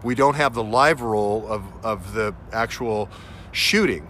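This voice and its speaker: A man delivering an unscripted, speculative vlog monologue in a halting, emphatic way, repeating phrases for stress. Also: fairly low pitch, fairly bright tone. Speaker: vlogger, self-styled investigator. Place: outdoors on a city street. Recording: outdoor street noise with traffic. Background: faint urban ambience and vehicles.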